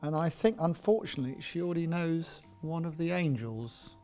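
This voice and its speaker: A British man chairing a panel of investors, talking off the cuff as he introduces and discusses pitches. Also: normal speed, warm tone, faint music behind.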